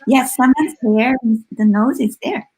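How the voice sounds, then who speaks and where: A woman's voice: fairly high pitch, measured pace, a little mellow, poor audio. A woman in casual, responsive chat as a co-host of an online session.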